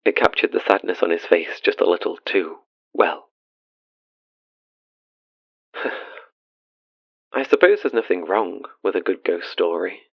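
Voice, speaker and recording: A dull, dry recording of a haunted, tender father in an audio drama. His voice is low and gravelly, and he tells his ghost story in a hushed, wistful confession. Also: no echo, exaggerated voice. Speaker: caller to a radio show.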